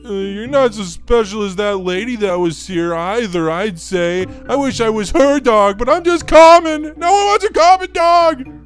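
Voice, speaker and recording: A woman's voice: a little high, normal speed, faint music behind, lightly acted. A woman voicing a whiny, insecure pet dog in a game roleplay. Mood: hurt, whiny. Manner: whiny, self-pitying.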